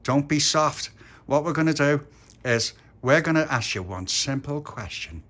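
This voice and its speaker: A man's voice: fairly high pitch, expressive, a little slow, fairly bright tone, voice pushed up. Little Pappy, as a man puts him on, a bossy young boy. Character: bossy, kind-hearted young boy, the gang's leader.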